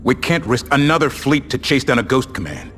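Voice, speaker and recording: A man playing a pragmatic intelligence officer in a game, speaking in a formal, measured briefing manner. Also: medium pitch, big pitch swings, a bit bright, soft background music. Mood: sober, cautious.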